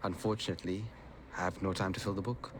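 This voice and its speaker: An actor playing a shy, courteous, bookish man in a video game, speaking formally and gently. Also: sits low, fairly even intonation, fast talker, noisy background, natural voice. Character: polite, shy, bookish male assassin mentor. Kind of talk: video game cutscene acting.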